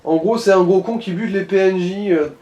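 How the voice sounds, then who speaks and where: Guy guiding someone thru a game, slightly high voice, wordy slangy talk, roomy echo. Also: fairly quick, dark tone, highs cut off. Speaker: co-player guiding the gamer. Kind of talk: casual gaming commentary. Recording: echoey room.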